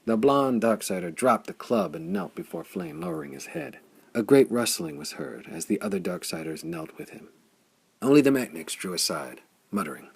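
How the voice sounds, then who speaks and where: A man narrates a pulp adventure tale in a deep voice full of gravelly creak. His tone is husky, and he tells the story with dramatic intensity. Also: big pitch swings, moderate pace. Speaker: audiobook narrator.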